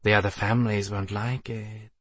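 A gaunt, inhuman familiar acted out by a male audiobook reader speaks in a feather-soft, husky wheeze. He is sly and hungry, insinuating mischief as he teases. The recording is close and dry. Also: fairly low pitch, little pitch movement, normal speed, no room sound. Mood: sly, taunting. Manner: teasing, insinuating. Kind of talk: audiobook character voice.

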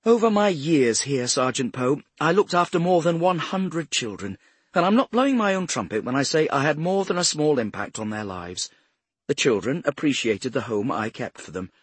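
A haughty older woman with a cultured English accent, as a male narrator puts her on. The voice is raised well up and swings with indignation and prim self-regard. It sounds a little husky and close, like a storybook character. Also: on the high side, very animated, normal speed, no echo, pitched above the performer's own voice. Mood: indignant, self-righteous. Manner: prim, self-righteous, sharp. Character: cultured, haughty retired care-home matron, a grandmother.

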